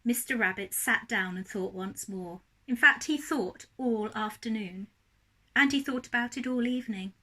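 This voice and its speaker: A woman reading a story aloud as a primary teacher would, expressively and deliberately for young children. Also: on the high side, bright, forceful tone.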